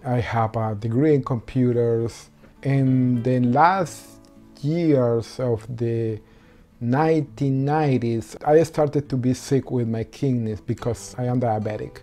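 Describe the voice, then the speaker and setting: A man with a Venezuelan accent answers interview questions in careful, halting English. His voice is mellow and relaxed, and a faint guitar plays softly behind him. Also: medium pitch. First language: Spanish. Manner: halting non-native English with many ums and restarts. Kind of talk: personal story interview.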